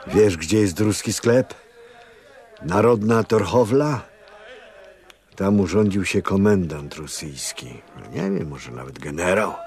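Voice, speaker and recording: Slow, deep-voiced gruff old priest. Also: male voice, animated, a little mellow, heavily acted. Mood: urgent, insistent. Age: older. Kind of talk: radio drama acting.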